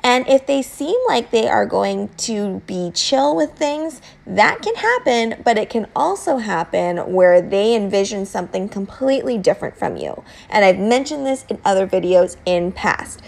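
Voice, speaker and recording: A woman narrating scripted instructional tips as a home baker, explaining informally with clear emphasis on key points. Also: medium pitch, sing-song, moderate pace, hardly any pauses, darkish tone.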